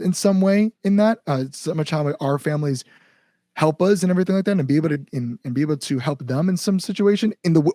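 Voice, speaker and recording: A man's voice: fairly high pitch, warm tone, no echo, clean studio sound. A man chatting casually as an interview guest, a musician talking enthusiastically in loose, conversational phrases. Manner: enthusiastic, frequent "like" and "you know". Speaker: guest musician, band singer.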